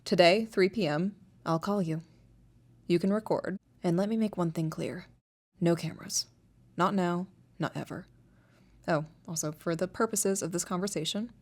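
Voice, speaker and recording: A woman's voice: fairly low pitch, darkish tone. A woman playing a guarded, sly anonymous informant in an audio drama, terse and controlled over a phone line. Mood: guarded, firm. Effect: phone-call filter. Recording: dull muffled phone line.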